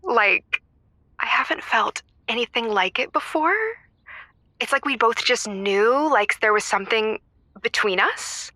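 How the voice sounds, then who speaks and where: A woman acting as a snobbish wife calling a comedy radio show. Her voice is fairly high and bright, confiding in halting, emphatic phrases, with a very dry, close sound. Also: no room sound. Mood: smitten, defensive. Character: status-conscious, snobbish wife and mother, confiding caller. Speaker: caller. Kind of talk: improvised comedy call-in.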